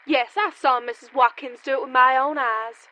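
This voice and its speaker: An actress playing an easily affronted maid giving testimony in a comic radio play. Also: female voice, sits high, fairly even intonation, moderate pace, highs cut off, close to an everyday voice. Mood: earnest. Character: indignant, affronted maid on witness stand. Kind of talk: comedic radio play acting.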